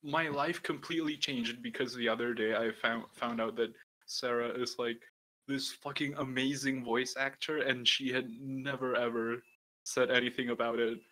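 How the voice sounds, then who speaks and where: A young man with a deep voice and a bright, forceful edge, hosting a casual podcast. He rambles loosely, filling his talk with "like" and the occasional curse. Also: fairly even intonation, moderate pace, rough recording. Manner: rambling, peppered with "like" and swearing. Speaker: podcast host. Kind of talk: casual chat.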